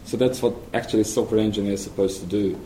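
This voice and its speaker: A man with a Hungarian accent giving a conference presentation as a software engineer, hesitant and full of ums and restarts. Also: lowish voice, fairly even intonation, fast talker, echoey room, a little hiss or hum, poor audio.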